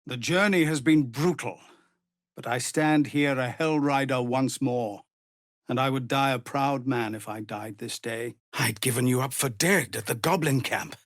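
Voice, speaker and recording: An actor playing a weary, honourable middle-aged male tiefling soldier in a fantasy game, speaking in an earnest, formal, measured way. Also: mid-range voice, dry recording, clean studio sound, natural voice. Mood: proud, relieved. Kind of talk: dramatic fantasy game dialogue.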